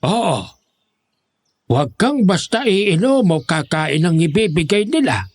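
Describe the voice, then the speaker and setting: An actor playing the grave, commanding leader of a secret band of monster hunters in a horror audio drama, giving instructions. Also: male voice, sits high, big pitch swings, measured pace, no room sound, full character voice.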